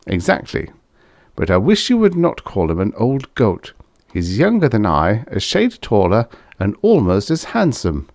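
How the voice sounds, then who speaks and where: A man's voice: lowish voice, huge pitch swings, unhurried, darkish tone, no room sound, studio quality, exaggerated voice. A man voicing a stiff, self-important older scientist in an audiobook story, speaking formally and earnestly.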